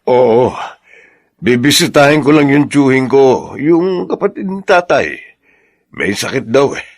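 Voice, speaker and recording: An actor playing a modest, kind, aging bachelor farmer in a Filipino radio drama, plain-spoken and unassuming. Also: male voice, mid-range voice, animated, unhurried. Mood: matter-of-fact.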